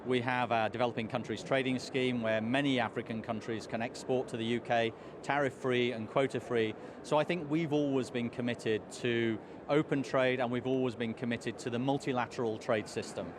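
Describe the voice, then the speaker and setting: A hoarse man, a trade commissioner, answering interview questions in a measured way with many fillers. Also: mid-range voice, fairly even intonation. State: hoarse, losing his voice. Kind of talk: live outdoor interview answers. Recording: street noise behind.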